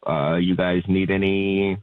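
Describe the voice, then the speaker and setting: A man's voice: low voice, little pitch movement, measured pace, clear, resonant tone, no echo, narrow, tinny audio. A man calling in to a radio dating segment, eager and joking about his voice. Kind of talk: casual phone chat.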